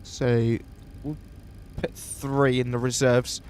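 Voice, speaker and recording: A man with a sniffly voice from a coming cold, calmly thinking aloud step by step as he streams a flight simulator session. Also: mid-range voice, slow, slight background noise. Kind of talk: casual live walkthrough of a flight simulator.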